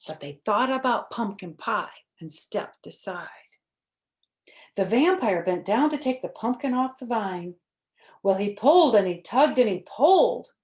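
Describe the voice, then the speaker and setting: Slow, animated woman reading to children. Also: medium pitch. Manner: expressive, deliberate, simple phrasing. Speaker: storyteller, first-grade teacher. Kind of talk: expressive picture-book read-aloud for children.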